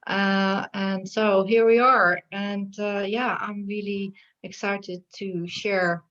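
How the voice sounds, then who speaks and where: A woman with a Dutch accent, a shamanic healer telling her story in an interview, pausing often with fillers. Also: medium pitch, flat delivery, a bit bright. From Netherlands. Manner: hesitant, many fillers. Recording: dull lo-fi sound.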